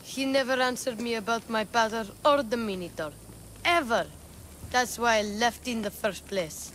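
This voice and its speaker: An actress playing a cheeky, candid child in a video game cutscene, speaking in a youthful, matter-of-fact way. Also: female voice, fairly high pitch, moderate pace, fairly bright tone, slight background noise, natural voice. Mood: resentful, candid. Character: cheeky, candid child searching for truth about father. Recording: faint music underneath.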